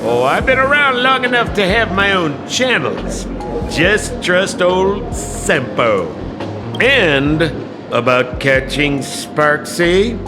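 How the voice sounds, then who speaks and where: A sly, theatrical old tavern storyteller from a video game. His voice is high and slow, with teasing, dramatic delivery. Music plays clearly behind him. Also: sing-song, heavily acted. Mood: knowing. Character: theatrical, crafty old male tavern informant. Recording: loud music under the voice.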